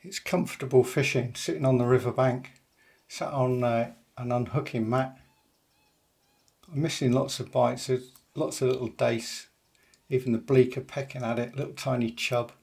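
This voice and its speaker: An older man calmly narrating a day's fishing in a voice-over, matter-of-fact and unhurried, with frequent pauses. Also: mid-range voice, gravelly. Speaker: angler vlogger.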